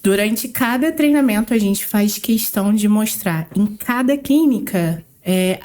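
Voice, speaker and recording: A woman with a Rio de Janeiro accent, co-hosting a casual podcast and talking with animated emphasis. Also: medium pitch, a little slow. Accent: Rio de Janeiro Portuguese. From Rio de Janeiro, Brazil. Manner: animated, emphatic, laughs easily. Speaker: podcast co-host.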